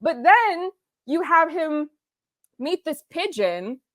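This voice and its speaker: Female review host, higher-pitched, dry close sound, earnest and analytical yet conversational. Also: sing-song, takes it slowly, dry recording. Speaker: host of a series review discussion. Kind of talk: analytical casual discussion.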